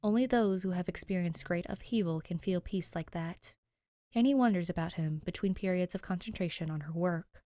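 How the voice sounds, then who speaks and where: A woman's voice: lowish voice, moderate pace, mellow, dry recording. Dry, close-recorded young woman reader. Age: young adult. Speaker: podfic reader and channel host. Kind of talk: story narration and chatty sign-off.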